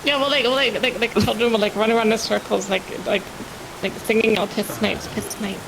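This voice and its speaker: A woman playing a cheeky, childlike commoner in online roleplay, teasing in a halting, sing-song way. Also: fairly high pitch, fairly even intonation, gravelly, noisy background. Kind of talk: improvised online roleplay chat.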